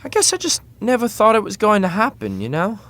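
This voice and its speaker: A man's voice: high voice, wildly swooping pitch, brisk, a bit bright, exaggerated voice. An actor playing a young, tipsy, self-deprecating party-boy prince in a modern comedy audio drama, with slangy, boyish bravado. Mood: wistful. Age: young adult.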